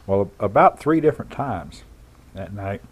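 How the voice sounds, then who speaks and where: A man recounting his experience as an eyewitness in an unscripted, halting, plain-spoken and matter-of-fact way. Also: on the low side, big pitch swings, dull audio. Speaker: former air traffic controller giving an eyewitness account. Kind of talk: unscripted first-person recollection.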